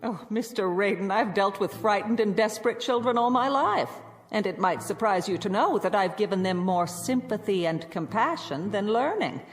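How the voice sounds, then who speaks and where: An actress playing a stern, unforgiving older schoolmistress in a radio drama, speaking in a prim, scolding, articulate way. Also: female voice, medium pitch, natural voice. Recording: reverberant radio drama with music underneath.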